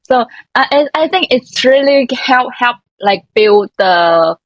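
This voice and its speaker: A woman's voice: on the high side, expressive, slow, no room sound, poor audio. A woman hosting a casual interview, who backs up her guest with short encouraging replies. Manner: encouraging, frequent short backchannels. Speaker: interview host.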